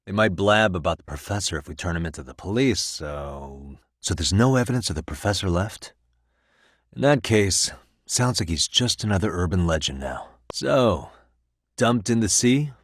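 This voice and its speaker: A man's voice: low voice, no room sound, studio quality. A man with an American accent voicing a cool, determined private detective in a video game, speaking in a casual, wry, conversational way. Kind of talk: video game voice acting, naturalistic dialogue.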